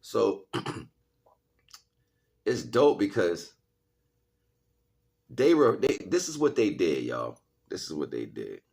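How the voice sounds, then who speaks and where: A man's voice: low-pitched, very animated, slow pace, vocal fry, pauses often, darkish tone, husky. A man speaking casually to livestream viewers like a street preacher, in heavy slang, repeating phrases for emphasis. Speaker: livestream host and spiritual teacher.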